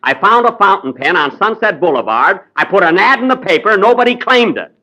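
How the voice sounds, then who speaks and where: A man hosting a 1940s radio comedy show speaks in a high, bright voice. His delivery is vain and easily exasperated, and his pitch swings widely. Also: animated, brisk, rough recording.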